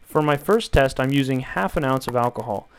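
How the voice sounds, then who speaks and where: A young man calmly walking viewers through a build, step by step, in an instructional voice-over. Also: medium pitch, fairly quick, clean tone. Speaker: DIY tutorial maker.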